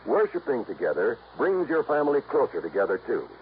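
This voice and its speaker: Male announcer, American, earnest, formal, measured, lively intonation, 1950s radio style, tinny narrow-band sound. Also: medium pitch. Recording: tinny, faintly noisy old radio broadcast.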